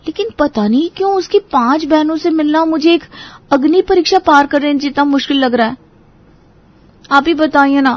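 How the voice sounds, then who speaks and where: A woman's voice: high-pitched, dry recording, highs cut off, lightly acted. A woman playing an anxious, earnest young bride-to-be in a Hindi audio story drama.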